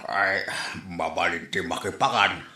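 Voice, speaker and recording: A man's voice: low voice, measured pace, bright, forceful tone, slight background noise, full character voice. A man playing a feckless, evasive older father with an Ilocano accent in a comedy drama. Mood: pleading. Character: feckless older father who drinks and squanders money. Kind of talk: Ilocano comedy radio-style drama acting. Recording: roomy echo.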